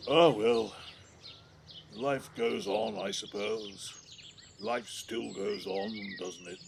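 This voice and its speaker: An actor with a British accent playing a blunt, wounded husband in an audio play, grumbling in everyday colloquial speech. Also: male voice, fairly low pitch, animated, slow, creaky, noisy background, full character voice. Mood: resigned.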